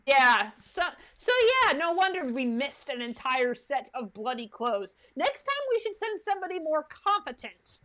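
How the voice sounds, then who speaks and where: A woman hosting a reaction show, giving animated, exclamatory commentary. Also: high voice, normal speed, few pauses.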